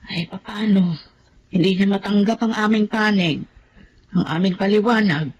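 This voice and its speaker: A woman's voice: mid-range voice, a little slow, a little mellow, slightly rough, lo-fi sound. A woman acting in a Filipino radio drama as a sorrowful middle-aged mother longing for her estranged son. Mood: plaintive.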